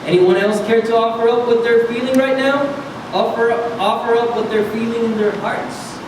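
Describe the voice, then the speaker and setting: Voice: medium pitch, steady tone, normal speed, lightly acted. An actor playing a menacing, drunken vagrant in clown makeup in a staged reading, mock-friendly, taunting and domineering. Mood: taunting threat. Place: live public reading in echoey room. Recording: echoey, distant, noisy room.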